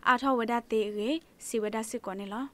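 A woman's voice: fairly quick, heavy background noise. A woman reading a scripted news report for radio as a newsreader. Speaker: news reader.

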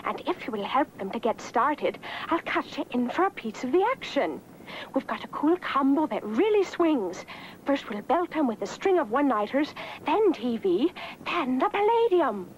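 A woman's voice: high-pitched, big pitch swings, normal speed, slight background noise. A woman voicing a tiny, coaxing female leprechaun with a showy sales patter in a cartoon. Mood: brisk salesmanship. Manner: sweet-talking, persuasive, showy. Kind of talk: 1960s animated cartoon acting. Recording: dull lo-fi old cartoon soundtrack, music behind.

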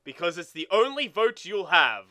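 A man's voice: high voice, sing-song, moderate pace, pushed and bright. A young man in casual gaming banter as a let's play co-host, rambling with lots of "like" and swearing. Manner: rambling, filler-heavy with frequent "like".

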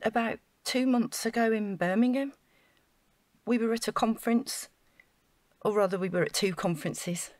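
A woman's voice: fairly high pitch, normal speed, pauses often, darkish tone, no room sound, lightly acted. An actress playing an earnest, naive council housing officer in an audio drama, chatty and flustered as she over-explains. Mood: explaining.

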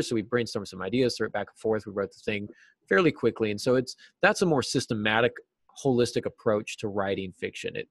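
A man's voice: mid-range voice, no room sound. A middle-aged man giving conversational answers as a guest writing teacher in an interview, speaking over a video call from an office. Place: small office, indoors.

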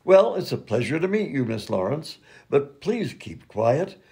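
A man as a husky, pompous ex-president. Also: medium pitch, big pitch swings, a little slow, warm tone, full character voice. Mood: huffy. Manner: formal, self-important, polite but huffy. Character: pompous, courtly former US president. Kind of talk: old-time radio comedy sketch.

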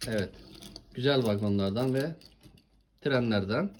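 A woman with a very deep, mellow voice presents toys in a casual chat. She is encouraging and often puts questions to her young co-host. There is faint noise behind her. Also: measured pace. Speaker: host presenting toy trains with a child. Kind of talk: casual toy showcase chat.

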